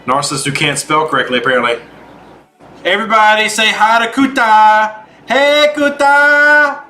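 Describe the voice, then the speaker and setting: High, bright-voiced man chatting on a livestream. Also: normal speed, faint music behind. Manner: chatty, addresses viewers by name, informal. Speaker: game livestream host. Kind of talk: casual livestream chat while gaming, answering viewers.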